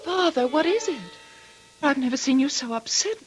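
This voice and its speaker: A woman's voice: high-pitched, expressive, moderate pace, over music. An actress playing a worried, devoted young woman, a doctor's daughter, in earnest old-time radio drama style. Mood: concerned. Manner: earnest, concerned. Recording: faintly noisy vintage radio recording.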